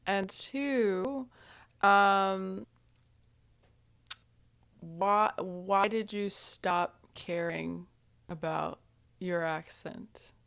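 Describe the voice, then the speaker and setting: Slow, smooth-voiced woman host. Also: medium pitch, fairly bright tone, no room sound. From New Jersey. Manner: hesitant, with many ums. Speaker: YouTube host. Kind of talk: casual interview.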